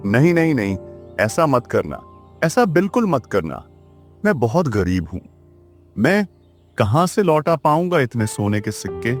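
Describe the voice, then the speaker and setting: A man's voice: mid-range voice, big pitch swings, measured pace, soft background music. A man voicing a poor, timid young student in a children's moral story, deferential and pleading.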